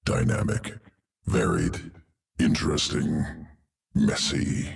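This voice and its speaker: An actor playing a cold, analytical alien creature in a video game, talking in detached, clipped fragments with a gravelly voice. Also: male voice, extremely low pitch, slow pace, recorded from a distance, exaggerated voice. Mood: detached appraisal.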